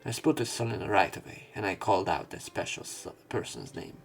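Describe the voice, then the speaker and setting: A man reading a visual novel aloud as a let's player, in an expressive, dramatic narration style. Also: deep voice, brisk, gravelly, soft background music. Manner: expressive, with emotional swells.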